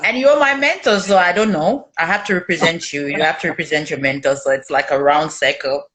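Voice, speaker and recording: Low-voiced woman hosting a chat. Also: fairly quick, fairly bright tone, poor audio. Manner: chatty, laughs often, stumbles over words. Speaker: host. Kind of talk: casual interview chat.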